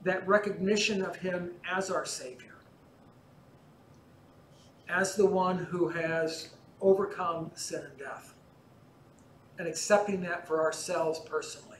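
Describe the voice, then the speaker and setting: An older man with a fairly high voice teaches a parish class in an easy, conversational way, gesturing as he explains. His voice rings through a large church and is picked up by a far camera. A faint hum sits underneath. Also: unhurried, pauses often, echoey room. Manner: relaxed explaining, gesturing as he talks. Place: large church interior in front of icons.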